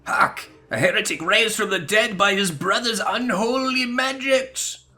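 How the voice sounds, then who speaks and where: A man's voice: high-pitched, a little slow, bright, forceful tone, soft background music, voice pushed up, heavily acted. A man playing a pompous, zealous and suspicious inquisitor from a video game, in haughty archaic language. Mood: alarmed. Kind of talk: melodramatic video game dialogue reading.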